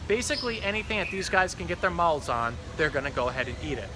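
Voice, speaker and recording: A man's voice: on the high side, expressive, quick pace, noisy background. A man hosting a casual educational talk about animals, speaking as a zookeeper. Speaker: zookeeper host showing pond fish.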